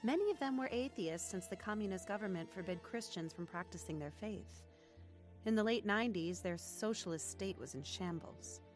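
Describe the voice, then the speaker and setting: A woman's voice: medium pitch, lively intonation, normal speed, faint music behind, close to an everyday voice. An actress playing an earnest young woman who reflectively narrates her testimony in a radio drama.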